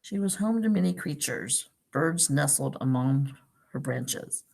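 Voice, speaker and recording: A woman reading a picture book aloud to young children, pausing for simple questions and explanations like a teacher. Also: extremely low pitch, animated, vocal fry, darkish tone. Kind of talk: read-aloud storytime with asides and questions to young listeners.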